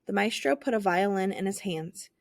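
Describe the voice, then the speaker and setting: Woman reading a kids picture book out loud, theatrical storyteller, dry clean sound. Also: medium pitch, animated, normal speed, vocal fry, no echo. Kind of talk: expressive scripted children's story narration.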